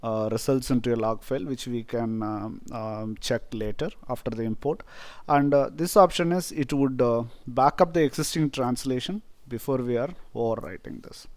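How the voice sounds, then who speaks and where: A man from India, a Tamil native speaker with an Indian accent, explaining a software feature step by step with many "uh" fillers. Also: medium pitch, normal speed, no room sound. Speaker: software tutorial presenter. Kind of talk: screen-recorded software tutorial.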